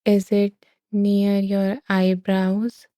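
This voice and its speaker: A woman leading a guided meditation in a slow, soothing way, with long gentle pauses. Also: mid-range voice, completely flat delivery, dry recording, studio quality.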